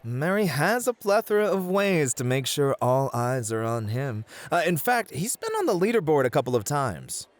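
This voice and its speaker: A man's voice: a little high, sing-song, normal speed, few pauses, dry recording. A man playing a confident, charming bunny host at a club in a flirty ASMR roleplay, breezy and courteous with showy flourishes. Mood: playful, cocky.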